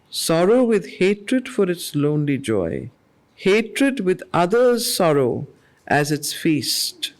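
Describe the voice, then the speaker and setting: Woman leading a group study, slow deliberate reading of verse, earnest teacherly explanation. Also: sits low, darkish tone. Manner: slow, measured recitation that repeats lines of verse, then conversational explaining; earnest, asks the group questions and answers them herself. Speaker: study circle facilitator. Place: weekly study group gathering.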